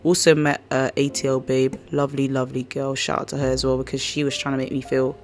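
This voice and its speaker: A woman with a croaky, hoarse voice hosting a casual podcast, rambling and slangy as she chats. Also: rumbling low, level tone, quick pace, a little mellow, faint music behind. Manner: chatty, slangy, rambling storyteller. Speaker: podcast host. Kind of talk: casual chat.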